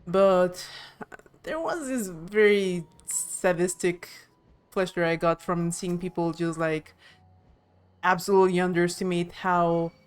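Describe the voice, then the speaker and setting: A woman's voice: on the low side, clear, resonant tone. A woman from Mexico City giving casual commentary while streaming a game. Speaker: live streamer. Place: game stream with game music behind.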